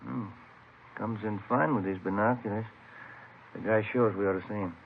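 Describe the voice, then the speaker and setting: Through a narrow old radio recording with faint noise comes a man acting a wry police detective. His voice is fairly low and his delivery conversational, in the manner of a 1950s crime drama. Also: steady tone, normal speed, lightly acted. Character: wry, grumbling male police detective partner and family man.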